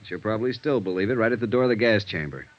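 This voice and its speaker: An actor playing a sardonic, weary police sergeant in a 1950s radio drama, questioning with a dry needling manner. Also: male voice, lowish voice, expressive, racing, close to an everyday voice. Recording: dull vintage radio recording.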